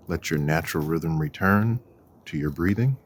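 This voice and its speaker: A man leading a guided walking meditation in a deliberate, measured manner, with long pauses and a husky voice. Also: very deep voice, a little hiss or hum. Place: outdoors on a walk.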